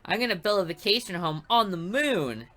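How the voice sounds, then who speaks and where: A woman's voice: medium pitch, lively intonation, normal speed, bright, forceful tone. A woman voicing a cheerful, dim-witted teenage boy who boasts eagerly. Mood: excited.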